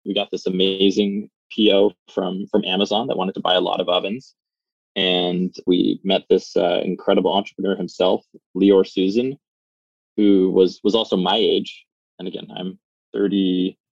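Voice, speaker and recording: A man's voice: low-pitched, level tone, moderate pace, gravelly, no echo, lo-fi sound. A man in his thirties, a startup founder, talking in a rambling, casual way with lots of "like" fillers, recorded in a small phone booth. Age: 30s. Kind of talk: casual interview chat.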